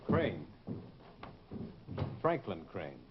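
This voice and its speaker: An actor playing a vain fugitive killer with smooth manners and underlying menace, in a formal, imperious old radio drama style. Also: male voice, low-pitched, very animated, drawn-out words, heavily acted. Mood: suave, vain. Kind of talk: 1940s radio drama acting. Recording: muffled, noisy old radio recording.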